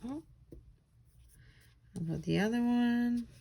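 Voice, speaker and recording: A woman's voice: fairly high pitch, slow pace, creaky, warm tone. A woman tutor giving children simple, encouraging step-by-step instructions for a craft. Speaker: tutor leading a children's read-aloud craft.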